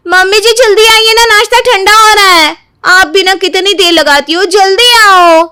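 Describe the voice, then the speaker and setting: Woman acting a very high, sugary young daughter-in-law. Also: moderate pace, pushed and bright, dry recording, studio quality. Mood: pestering. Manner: sing-song mock innocence, eager and insistent. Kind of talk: animated moral story voice acting.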